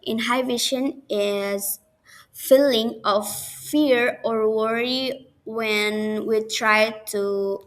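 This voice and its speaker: A young woman speaks English with an Indonesian accent, pausing and restarting as she works through a class presentation. Her voice sits a little high, and she talks slowly and carefully. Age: young adult. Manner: hesitant, halting non-native English with restarts. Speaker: student giving a class presentation.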